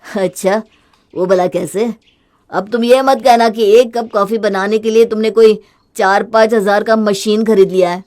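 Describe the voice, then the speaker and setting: A woman's voice: mid-range voice, steady tone, moderate pace, a little mellow, lightly acted. A woman voicing an exasperated husband in a family story, with a sarcastic, reproachful manner. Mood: suspicious. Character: exasperated, scolding husband, critical of wasteful spending.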